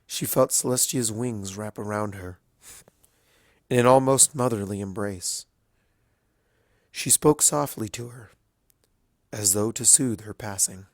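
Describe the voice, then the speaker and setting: A man reading a dramatic story as narrator in a solemn, hushed, deliberate way. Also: on the low side, no room sound, clean studio sound. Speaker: fan fiction narrator.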